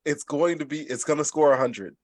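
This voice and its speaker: A man's voice: fairly high pitch, brisk, dry recording, clean studio sound. A man co-hosting a podcast in casual group banter, repeating phrases for emphasis. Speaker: podcast co-host.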